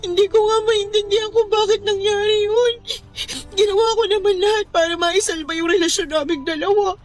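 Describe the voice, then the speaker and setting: A woman's voice: extremely high pitch, fairly even intonation, fairly quick. A woman with a Filipino accent playing a heartbroken, pleading young woman in a radio drama, whining tearfully. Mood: sobbing, distraught. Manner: whiny, tearful, emotional delivery.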